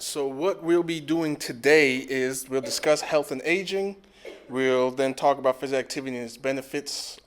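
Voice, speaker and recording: A man presenting an online lecture over a video call. He talks in a relaxed, easygoing way, with plenty of "um" and "you know" between points. His pitch sits in an ordinary middle range. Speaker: physical therapy professor giving a lecture.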